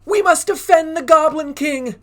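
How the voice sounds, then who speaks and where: An eager little cave goblin for a storybook. The voice is squeaky, pitched way up, loyal and keen. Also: male voice, normal speed. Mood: rallying. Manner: high-pitched, eager exclaiming.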